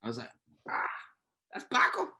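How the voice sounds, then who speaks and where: A man's voice: moderate pace, sharp tone. A man chatting casually as a guest on a panel. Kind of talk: casual chat.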